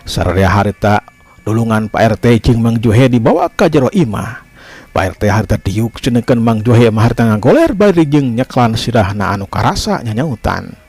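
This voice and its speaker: A man's voice: mid-range voice, very animated, normal speed, a little mellow, breathy edge, no echo. A man with a Sundanese accent narrating a folk tale as a theatrical storyteller, using dramatic vocal effects.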